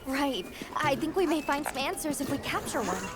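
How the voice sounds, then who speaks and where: Eager young female researcher: high, lively, explanatory game dialogue under loud music. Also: moderate pace. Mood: curious.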